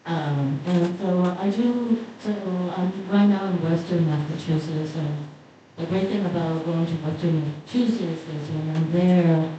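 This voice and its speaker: A woman with a Cuban Spanish accent telling relaxed anecdotes on a panel, in a large hall. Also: low voice, a little slow. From Cuba. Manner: relaxed, anecdotal, light laughter between points. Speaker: panelist artist. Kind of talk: conversational storytelling on a panel. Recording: echoey hall, voice from far off the mic, dull sound, faint noise.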